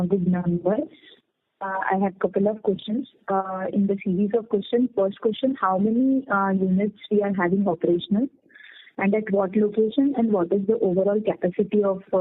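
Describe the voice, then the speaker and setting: A woman calling in as an individual investor, asking polite, halting questions on an earnings call. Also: mid-range voice, steady tone. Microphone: telephone conference line. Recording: narrow tinny phone line.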